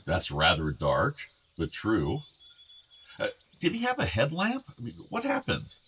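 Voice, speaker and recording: A man's voice: lowish voice, huge pitch swings, a little slow, a bit bright, slightly rough, full character voice. An actor playing an anxious, educated middle-aged American father in a radio play, earnest and pressing but conciliatory. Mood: concerned, pressing. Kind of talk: naturalistic radio play acting.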